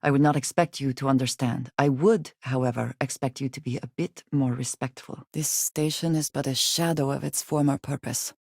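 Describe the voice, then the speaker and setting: An actress voicing a guarded, earnest female spacefarer in a video game, grave and loyal, with formal, measured phrasing. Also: very deep voice, steady tone, creaky, darkish tone, husky, no room sound, clean studio sound, heavily acted. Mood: stern, indignant. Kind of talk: video game character voice lines.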